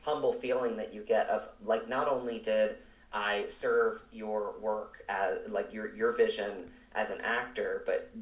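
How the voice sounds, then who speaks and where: Low-voiced man in a roomy, distant recording. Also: fairly even intonation, a little slow. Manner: reflective, emphatic, circling back over phrases. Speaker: actor, interview guest. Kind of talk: earnest conversational interview. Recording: roomy echo, distant microphone.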